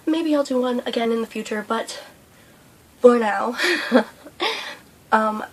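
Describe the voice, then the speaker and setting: A young woman vlogging a breakfast mukbang, hoarse and losing her voice from a cold, rambling with lots of fillers. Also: fairly high pitch, slow pace, a little hiss or hum. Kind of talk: casual mukbang vlog chat. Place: indoors at home under a hot light.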